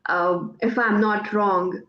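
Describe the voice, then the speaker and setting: Pakistani woman host, urdu native, talks really slow, hunts for words, echoey muffled call. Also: mid-range voice, fairly even intonation. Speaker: host, interviewer. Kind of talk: online interview questions.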